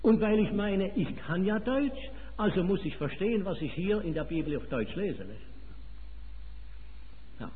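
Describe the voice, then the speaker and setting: Swiss German male preacher, a bit high-voiced, emphatic, lo-fi hall recording. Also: expressive, unhurried, long pauses, a little hiss or hum. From Switzerland. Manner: emphatic, rising and falling delivery. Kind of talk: sermon. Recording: phone-like, reverberant hall.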